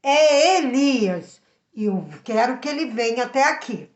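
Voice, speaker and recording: A woman's voice: high-pitched, animated, measured pace. A Brazilian woman voicing a wicked, demanding king who gives emphatic orders in a children's Bible story. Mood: commanding. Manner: commanding, emphatic. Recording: echoey room.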